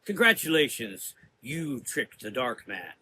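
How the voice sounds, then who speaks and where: A man playing a menacing, cerebral gothic sorcerer in an audio drama, lecturing deliberately in drawn-out, taunting phrases. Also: medium pitch, big pitch swings, pushed and bright, slight background noise, exaggerated voice. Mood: accusing.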